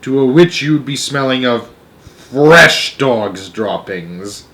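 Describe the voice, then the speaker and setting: A man plays an old storybook grandmother, grave and knowing. The delivery is emphatic and matter-of-fact, relishing grim details, with lively rises and falls in pitch. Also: slow pace. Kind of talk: storybook read-aloud character.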